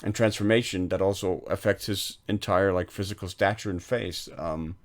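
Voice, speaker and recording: A man's voice: low voice, steady tone, moderate pace. A man co-hosting a film podcast, talking casually and rambling, with frequent "like" and "um" fillers. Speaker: podcast co-host.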